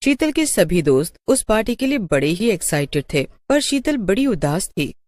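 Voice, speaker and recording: A woman's voice: medium pitch, very animated, normal speed, a little mellow, dry recording, studio quality. A woman narrating a scripted story as a storyteller.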